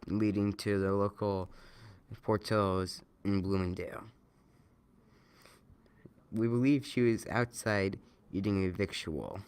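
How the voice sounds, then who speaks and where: A man reading a scripted amateur news report, somewhat haltingly. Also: on the low side, clear, resonant tone. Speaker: news reader.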